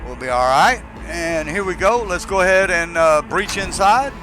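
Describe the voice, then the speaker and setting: A man's voice: sits high, animated, normal speed, over music. A man giving a casual, unscripted video game tutorial, explaining step by step in a rambling way. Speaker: gamer giving a mod tutorial.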